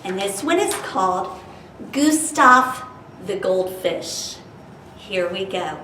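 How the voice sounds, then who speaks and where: A woman's voice: mid-range voice, lively intonation, takes it slowly, pushed and bright. A woman hosting a library storytime, talking directly to children and prompting them with questions as she introduces and reads stories aloud. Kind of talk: children's storytime talk and expressive read-aloud. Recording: roomy, distant mic with faint hiss.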